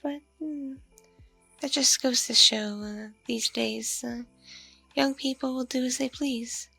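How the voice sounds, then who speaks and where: A woman acts out a lonely vampire woman next door in an ASMR roleplay. Her voice is breathy and heavily creaky, unhurried and hesitant, flirtatious yet awkwardly polite. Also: high voice, fairly even intonation, sharp tone, no echo, faint music behind, exaggerated voice. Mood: gently probing. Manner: hesitant, murmuring, drawn-out pauses. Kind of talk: ASMR roleplay, intimate one-sided dialogue.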